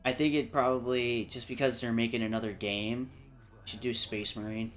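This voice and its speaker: A man's voice: medium pitch, steady tone, normal speed, soft background music. A man chatting casually as a panelist on a livestream round table, swearing freely. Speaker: panelist in a video game discussion.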